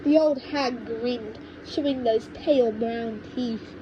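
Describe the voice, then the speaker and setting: Female storybook narrator, high-pitched and measured, slightly stumbling read, noisy homemade audio. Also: lo-fi sound. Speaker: audiobook reader. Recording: noisy low-quality home microphone recording.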